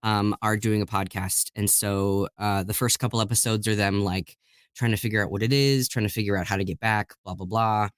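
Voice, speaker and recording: A man's voice: fairly low pitch, steady tone, fairly quick, clear, resonant tone, no room sound, studio quality. A man talking casually and enthusiastically as a guest in an interview about his work as a voice actor. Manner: enthusiastic, chuckles while talking. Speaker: guest voice actor and audiobook narrator.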